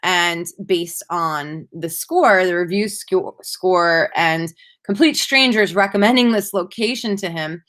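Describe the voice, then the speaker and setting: A woman with a slightly low voice presents as a conference speaker. Her delivery is prepared and measured, sounding read from a script, and she leans on key points as she lays them out. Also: fairly bright tone. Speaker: conference speaker, digital marketing professional.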